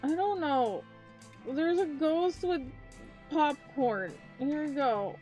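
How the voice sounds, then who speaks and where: High-voiced chatty woman streamer. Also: animated, takes it slowly, mellow, faint music behind. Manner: chatty, talks to chat, self-mocking. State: tired voice. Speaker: VTuber streamer. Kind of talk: casual live-stream chat.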